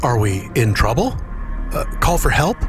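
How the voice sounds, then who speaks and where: A man voicing a naive, gentle, anxious companion AI in a video game, earnest and innocent. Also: mid-range voice, sing-song, normal speed, over music, natural voice. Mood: worried.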